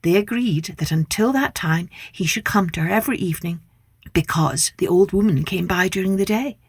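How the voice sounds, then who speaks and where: A woman narrating a scripted children's story as a podcast host, with expressive, deliberate delivery. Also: lowish voice, husky, no room sound, studio quality.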